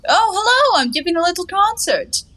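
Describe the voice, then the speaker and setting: A woman's voice: very high-pitched, lively intonation, a little fast, fairly bright tone. An actress playing a carefree, cheeky young woman of a 1920s stage comedy, with airy, teasing, mock-grand phrasing. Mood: breezy, teasing. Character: playful, cheeky, carefree young woman lounging in her bath.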